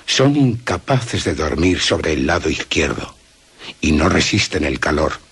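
A man with a Castilian Spanish accent and a rough, husky voice, giving a scripted dramatic reading on radio. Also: a bass voice, big pitch swings, unhurried, fairly bright tone. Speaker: reader of quoted passage.

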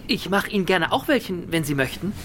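A man's voice: high voice, big pitch swings, brisk, background noise. An actor playing an eager, deferential, chatty male household servant in a radio play.

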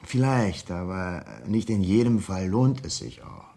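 A man acting in a German radio crime drama as a cool, menacing escaped convict, sardonic and insistent, with a husky voice. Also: low voice, measured pace, mellow, heavily acted. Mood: coolly sardonic.

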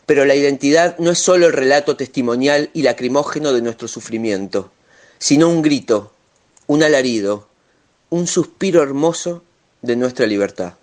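A man's voice: mid-range voice, normal speed, darkish tone. A man reciting a political manifesto in a declamatory way.

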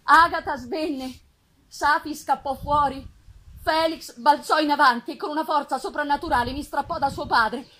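A woman's voice: high voice, fairly even intonation, a bit bright. A woman voicing an anguished, vengeful outcast male monster in an impassioned, theatrical gothic reading. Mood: horrified, pained. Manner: impassioned, theatrical, drawn-out emphasis. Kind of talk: dramatic gothic novel reading. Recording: live festival recording with background noise.

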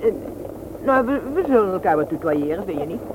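Brisk, bossy woman, noisy recording. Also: on the low side, big pitch swings. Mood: chatty, practical. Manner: forward, businesslike, probing. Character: brisk, bossy, practical single woman sizing up a suitor. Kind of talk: Dutch radio play acting. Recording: noisy old radio recording.

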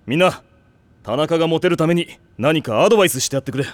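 An actor playing a steady teenage team captain, firm and a little exasperated, in an anime drama CD. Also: male voice, on the high side, fast, dry recording, lightly acted. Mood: resigned.

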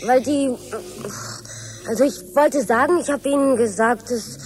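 An actress playing a headstrong, impatient young adult daughter in a radio drama, petulant and halting by turns. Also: female voice, high voice, over music. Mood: hesitant, pleading. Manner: hesitant, petulant, pleading. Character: headstrong, impatient grown-up daughter of fussy parents. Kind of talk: German radio drama acting.

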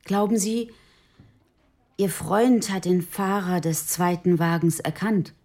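An actress playing a middle-aged, matter-of-fact police detective who speaks tersely and asks direct questions in a radio drama. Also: female voice, on the low side, fairly even intonation, slow, mellow. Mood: probing. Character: probing female police detective around forty, matter-of-fact.

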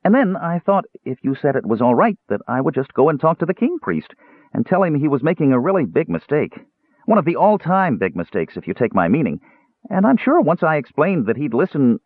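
A man's voice: a little high, big pitch swings, fairly quick, slightly rough, no echo, voice pushed up, heavily acted. A man voicing an eager, naive, childlike Kender in a fantasy audiobook, earnest and chatty. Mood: earnest, flattering. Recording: narrow tinny radio-like band.

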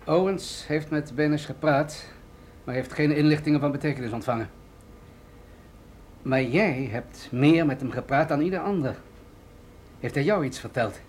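Radio play general, played by a man: slightly high male voice, grave, commanding, explanatory, with rumbling background noise. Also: normal speed, long pauses, a little mellow, natural voice. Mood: serious, probing. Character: authoritative male military general briefing an agent, grave and deliberate. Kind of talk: radio drama acting.

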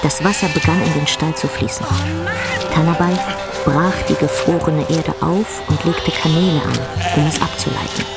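A woman performing as the grave, intense narrator of a bleak literary radio drama, telling it in a measured way. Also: low voice, fairly even intonation, creaky. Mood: urgent. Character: female storyteller of a bleak rural tale, grave and intense. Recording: music under the voice.

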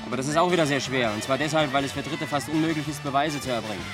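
An actor playing a condescending, pedantic young know-it-all who lectures in a German radio play. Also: male voice, medium pitch, very fast, lightly acted. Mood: lecturing. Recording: music behind the voice.

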